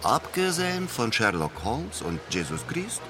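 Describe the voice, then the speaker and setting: An actor in a German radio drama playing a boastful, famous escape artist with a showy, theatrical manner. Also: male voice, medium pitch, wildly swooping pitch, a little slow. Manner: showy, self-satisfied, theatrical. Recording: music behind the voice.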